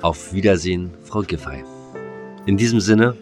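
A man's voice: sits low, moderate pace, a little mellow, soft background music. A man co-hosting a casual podcast, playful and sometimes mock-solemn. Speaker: podcast co-host. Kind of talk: casual chat.

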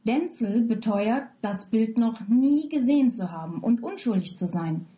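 Mellow woman reading a scripted summary. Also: on the high side, lively intonation, unhurried. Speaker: podcast presenter of episode synopsis. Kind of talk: scripted narration.

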